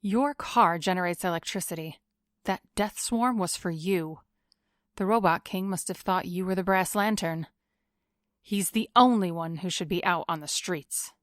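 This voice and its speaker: A woman's voice: fairly low pitch, normal speed, no room sound, clean studio sound, natural voice. An actress playing a tough, sardonic woman vigilante in an audio drama, with a cool, commanding manner.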